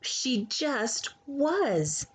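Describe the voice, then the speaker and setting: A woman reading a children's storybook aloud expressively, with theatrical pauses and hushed emphasis. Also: sits high, big pitch swings, husky. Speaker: children's book reader.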